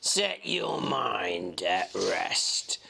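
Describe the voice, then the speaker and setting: Man voicing a sneering, slow-spoken villainous gentleman. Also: low voice, sing-song, gravelly, slightly rough, pitched below the performer's own voice, heavily acted. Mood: sneering, smooth. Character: sinister, sneering, coolly composed villainous gentleman. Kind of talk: dramatic audiobook reading.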